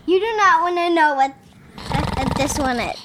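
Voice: high voice, lively intonation, unhurried, very noisy. A six-year-old child reading a picture book aloud with chatty asides. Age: child, about six.

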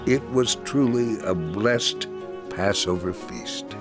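A man reading a scripted first-person faith story in a measured, solemn manner, as a dramatic narration. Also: fairly low pitch. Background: music.

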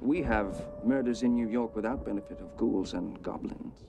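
A formal, doubting city constable speaks in a lowish, measured voice. He sounds clipped and proper. A loud orchestral film score swells under every word. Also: gravelly, over loud music. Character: earnest skeptical male constable from the city.

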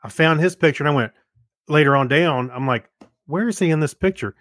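A man's voice: on the high side, fairly quick, fairly bright tone, no echo. Casual podcast man, close dry sound. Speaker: podcast co-host. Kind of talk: casual chat, personal anecdotes.

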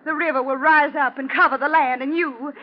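A woman's voice: sits high, steady tone, close to an everyday voice. An actress playing a vengeful wife who coldly taunts her murderous husband, theatrical and ominous, in an old-time radio thriller. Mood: cold menace. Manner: ominous, deliberate, mocking, theatrical. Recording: muffled, noisy old radio drama recording.

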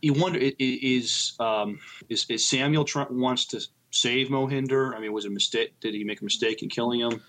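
Halting man, casual podcast co-host. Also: medium pitch, steady tone, fairly bright tone. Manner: halting, repeats words as he thinks. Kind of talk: casual chat.

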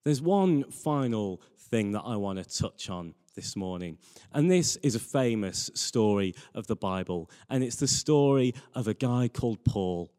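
Male preacher, mellow tone, earnest emphatic sermon delivery, long hall reverb. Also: fairly low pitch, lively intonation, unhurried, clear, resonant tone.

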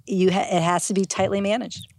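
A woman's voice: mid-range voice, little pitch movement, fairly quick, darkish tone, dry recording. A middle-aged woman from Ohio, a winery owner, chatting casually and laughing often, with restarts. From Ohio, USA. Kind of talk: casual chat.

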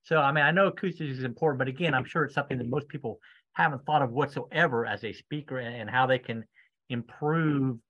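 Man, podcast host, middling pitch, conversational interviewing, slightly muffled recording. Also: fast, fairly bright tone.

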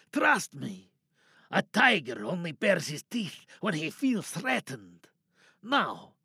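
Man acting high, gravelly, cynical captive scientist. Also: bright, forceful tone, no room sound, studio quality, exaggerated voice. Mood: tense, bargaining. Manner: sardonic, probing, rhetorical questions. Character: captive lab scientist, cynical, wary, bargaining and quietly menacing. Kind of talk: dramatic audio drama monologue.

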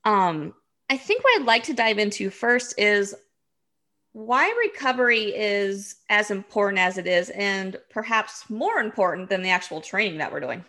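A woman's voice: medium pitch, animated, moderate pace, sharp tone. A woman hosting a podcast, opening the episode and interviewing a guest in an upbeat, eager way. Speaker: podcast host. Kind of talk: podcast interview, scripted intro.

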